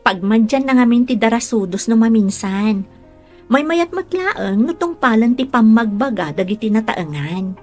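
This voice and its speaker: A woman as higher-voiced caring young caregiver. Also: big pitch swings, moderate pace, a little mellow, faint music behind. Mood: earnest, encouraging. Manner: respectful, caring. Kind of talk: Ilocano radio drama acting.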